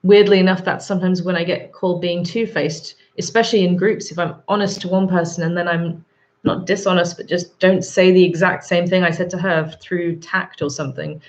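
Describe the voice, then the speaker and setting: A woman in her thirties with a sore throat and a husky voice, hosting a live stream, chatting casually and rambling with frequent ums. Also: lowish voice, steady tone, a little fast, low-quality recording. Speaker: livestream host. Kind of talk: live chat reading viewer comments.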